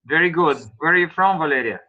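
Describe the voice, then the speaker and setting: A man teaching English online, asking students slow, patient questions and explaining grammar. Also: fairly high pitch, phone-quality sound.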